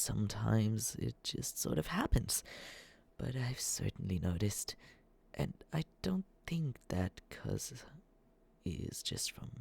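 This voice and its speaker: A man playing a nervous, lovestruck young male stalker in an ASMR roleplay, breathy and halting, stammering earnestly as he softly reassures the listener. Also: mid-range voice, heavily acted. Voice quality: breathy, whispery. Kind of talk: ASMR roleplay, soft scripted monologue to the listener.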